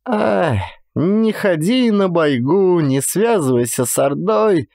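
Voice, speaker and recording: A male narrator plays a frail old village woman in a storybook, her voice pitched high and swinging up and down. She rambles in folksy, resigned, childlike phrases, with sighs. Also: expressive, slow, creaky, darkish tone, no room sound, studio quality, heavily acted. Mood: resigned fretting. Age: older. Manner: folksy rural speech, rambling, sighing. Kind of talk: dramatized audiobook storytelling.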